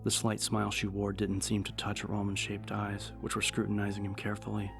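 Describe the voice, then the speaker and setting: A man narrating dramatic fiction as an audiobook, with measured, suspenseful delivery. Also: on the low side, monotone, vocal fry, music in the background.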